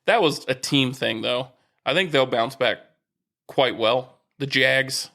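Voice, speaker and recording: A man's voice: medium pitch, slow, vocal fry, bright, forceful tone. An American man co-hosting a sports podcast, talking casually and reasoning through his opinions in a conversational way.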